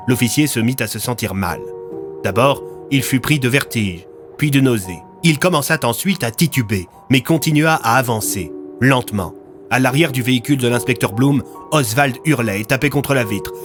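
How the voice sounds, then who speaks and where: A man narrates an audio drama in a scripted, dramatic style. His voice sits at a medium pitch, with music clearly playing behind him. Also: a bit bright. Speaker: narrator of an audio fiction series.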